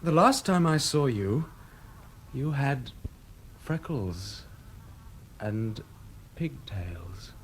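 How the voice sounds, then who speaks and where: An actor in a radio drama playing a smug, cynical English ex-soldier, suave and mocking, with an English accent. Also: male voice, mid-range voice, lively intonation, drawn-out words, pauses often, a little hiss or hum. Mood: mockingly nostalgic.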